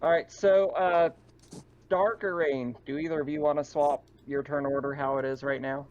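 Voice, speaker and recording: A man's voice: fairly high pitch, steady tone, normal speed. A man acting as game master, talking casually as he runs a tabletop roleplaying game. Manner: casual, conversational. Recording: dull, lo-fi mic with faint noise.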